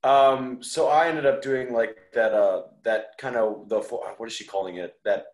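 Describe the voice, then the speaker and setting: A man's voice: mid-range voice, steady tone, moderate pace. A man chatting casually as an interview guest, laid-back and self-effacing. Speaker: podcast guest, musician. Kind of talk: casual chat. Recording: echoey room sound.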